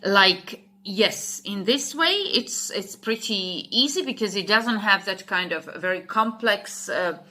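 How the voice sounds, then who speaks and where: A woman with a Slovak accent, a native Slovak speaker, talking casually to camera as an English teacher, halting and restarting as she searches for words. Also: mid-range voice, expressive, sharp tone.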